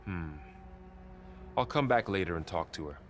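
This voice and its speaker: An actor playing a calm grown man ally who speaks in brief, laid-back remarks. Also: sits low, normal speed. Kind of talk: video game cutscene acting.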